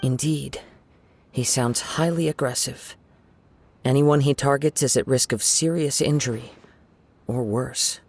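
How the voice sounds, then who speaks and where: An actor playing a calm, courteous young samurai swordsman in a video game, speaking in a measured, polite, formal way. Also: mid-range voice, husky. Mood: composed, courteous.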